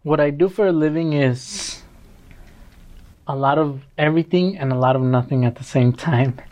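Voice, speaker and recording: Relaxed young man from Mexico. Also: on the high side, moderate pace. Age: 20s. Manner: relaxed, joking, thoughtful. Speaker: podcast guest. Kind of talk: casual interview conversation.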